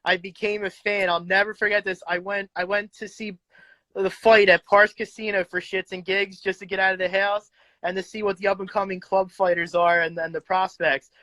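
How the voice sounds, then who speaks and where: A man hosting a casual boxing interview, his voice pitched high with a bright, forward edge. He talks chattily, laughs easily and fills pauses with "um" and "like". The sound is close and dry. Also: little pitch movement, dry recording. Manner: chatty, quick to laugh, lots of fillers. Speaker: interview host, boxing fan.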